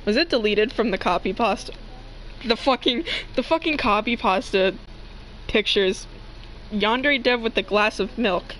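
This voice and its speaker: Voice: medium pitch, measured pace, fairly bright tone. Informal, slangy voice on a noisy recording. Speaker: co-host joining a fan fiction reading. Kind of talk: casual joking chat and amateur recitation. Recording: noisy amateur home recording.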